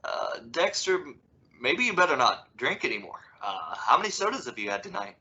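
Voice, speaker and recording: Guy doing a fed up sitcom dad, husky and stammery, old radio comedy, dull sound. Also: mid-range voice, big pitch swings, normal speed, sharp tone, full character voice. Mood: awkward concern. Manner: hesitant, stammering, exasperated. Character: exasperated, wry father of a dramatic teenager. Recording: dull, lo-fi radio-play audio.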